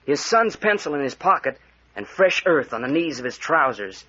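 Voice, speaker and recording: Earnest young american hothead, sharp voice, urgent, muffled old radio serial. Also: male voice, a little high, very animated, normal speed, slightly rough, exaggerated voice. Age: young adult. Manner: urgent, accusing, forthright. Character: earnest, hot-headed young man telling his story. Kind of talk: 1940s American radio acting. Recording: muffled vintage radio recording.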